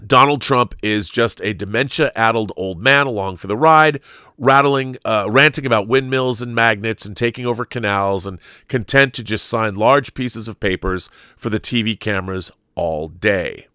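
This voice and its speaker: An American man hosting a live show, talking conversationally with halting repeats and emphatic stresses as he reads aloud and riffs. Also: fairly low pitch, sharp tone, smooth, dry recording, studio quality. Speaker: show host reading viewer questions live. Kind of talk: conversational live-stream commentary, reading aloud and riffing.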